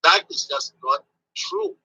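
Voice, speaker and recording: A man's voice: sits high, huge pitch swings, slow pace, bright, forceful tone, slightly rough, rough recording. A man in his sixties from Zimbabwe, a physician answering interview questions in a hesitant way with many fillers and restarts. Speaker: physician guest.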